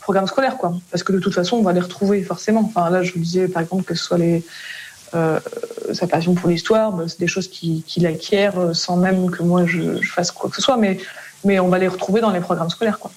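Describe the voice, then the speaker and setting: A woman's voice: lowish voice, steady tone, brisk, a little mellow, a little hiss or hum. A French woman being interviewed as a parent, explaining casually in colloquial speech that often trails off into tag words.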